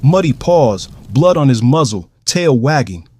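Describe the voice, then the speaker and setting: A man's voice: mid-range voice, big pitch swings, a little slow, darkish tone, slightly rough, dry recording, clean studio sound. An actor playing a shaken, angry man who narrates his own danger in tense, clipped phrases for an audio drama. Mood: startled relief.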